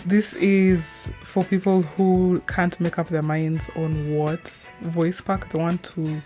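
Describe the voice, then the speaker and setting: A woman's voice: on the low side, flat delivery, slow, mellow, faint music behind. A woman giving a casual, rambling introduction in an informal, somewhat scattered and hesitant way. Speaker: video creator.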